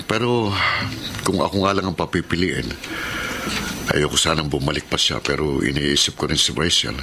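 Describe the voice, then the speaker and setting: A man's voice: rumbling low, normal speed. A man playing a weary, protective middle-aged father in a Filipino radio drama, speaking in an earnest, paternal way. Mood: troubled, confiding. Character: weary, protective single father, stern but self-sacrificing. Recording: noisy radio drama audio.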